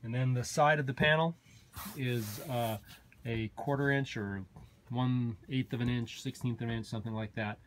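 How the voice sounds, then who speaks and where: A man with an ordinary mid-range voice talks through his homemade cockpit in a casual, matter-of-fact way, full of little fillers. The sound comes from a handheld camera in a basement, with a clear rumble and noise underneath. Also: steady tone, moderate pace. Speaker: flight sim hobbyist giving a tour. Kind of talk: casual walkthrough narration. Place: basement home flight simulator. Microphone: handheld camera mic, moving around.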